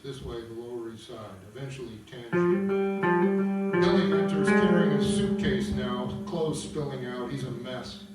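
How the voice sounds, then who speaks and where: Male presenter, conversational explainer reading stage directions, mid-pitched, recorded far off in a very echoey room. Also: slight background noise. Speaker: composer presenting his opera. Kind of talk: informal table read introduction with read-out stage directions.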